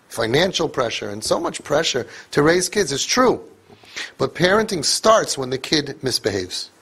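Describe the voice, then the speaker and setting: A man's voice: medium pitch, gravelly, a bit bright, slightly rough. A man giving an emphatic motivational talk to parents, repeating phrases for force. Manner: emphatic, repeats phrases, mixes in Yiddish and Hebrew words. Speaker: speaker addressing parents.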